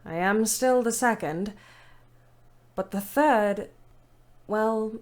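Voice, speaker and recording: Actress as measured, wry young diarist. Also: female voice, medium pitch, a little mellow, natural voice. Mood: wistful, self-deprecating. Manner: measured, reflective, dryly ironic. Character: young British woman ambulance driver, wry, self-deprecating diarist. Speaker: narrator. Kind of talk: dramatic first-person diary narration in audio play.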